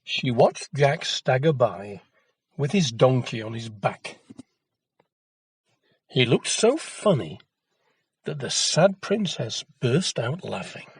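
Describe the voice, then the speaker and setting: A man narrating a children's folktale as an expressive storyteller. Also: medium pitch, takes it slowly, long pauses, breathy edge, no echo.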